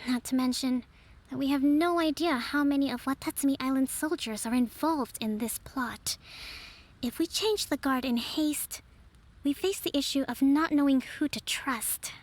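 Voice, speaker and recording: A woman voicing a young, calm strategist who leads an island, measured and soft-spoken. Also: high voice, lightly acted. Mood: analytical. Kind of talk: video game voice acting.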